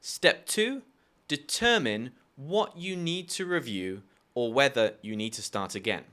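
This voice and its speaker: A young man with a bright, forceful tone and a middle-range pitch, talking to his viewers as a language teacher. He tells personal anecdotes and gives practical advice in a chatty, upbeat manner, full of quick asides. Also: expressive. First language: English. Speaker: language teacher and video host. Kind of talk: friendly explanatory video lesson.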